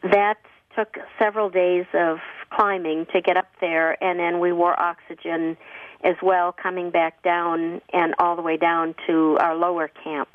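A woman with a husky, slightly low voice speaks in earnest, plain-spoken answers, often restarting her sentences. She comes through a tinny phone line and sounds distant from the mic, with a dry sound. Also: flat delivery, unhurried, no echo. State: husky from a cough. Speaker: guest on a call-in radio show. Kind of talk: relaxed conversational interview answers.

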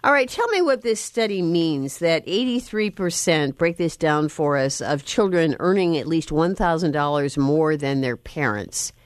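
Low-voiced woman radio host. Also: lively intonation, normal speed, hardly any pauses, dry recording. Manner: friendly, laughs easily, rambling questions. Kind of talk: public radio interview hosting.

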